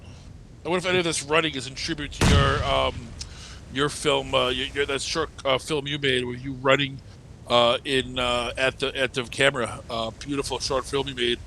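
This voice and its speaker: A man's voice: mid-range voice, normal speed, gravelly. A man commentating a live esports match, sick with a stuffed nose that leaves him sounding nasal, rambling and joking with lots of fillers. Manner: rambling and jokey, many fillers and restarts. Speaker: esports caster. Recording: steady game noise behind the voice.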